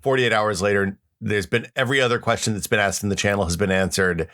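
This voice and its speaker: A man's voice: on the low side, fairly even intonation, quick pace, sharp tone. A middle-aged man co-hosting a podcast in casual chat, rambling with many restarts and goofy asides. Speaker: podcast co-host.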